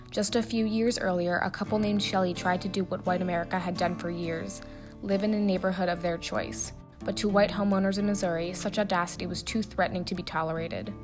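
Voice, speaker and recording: A woman giving a dramatic, deliberate and emphatic reading of a commemorative speech. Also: mid-range voice.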